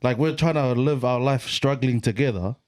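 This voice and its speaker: A young man with a New Zealand accent in casual podcast chat, relaxed and slangy, leaning on "like" and "bro". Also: mid-range voice, moderate pace, no echo, studio quality. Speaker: podcast co-host.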